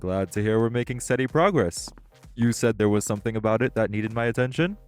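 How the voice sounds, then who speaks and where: Male, lowish voice, actor as self-assured tech executive for audio roleplay: polished, articulate, friendly yet guarded, music underneath. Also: close to an everyday voice. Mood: warm, professional. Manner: polished, measured, articulate corporate speech. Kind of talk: audio roleplay acting, one-sided dialogue.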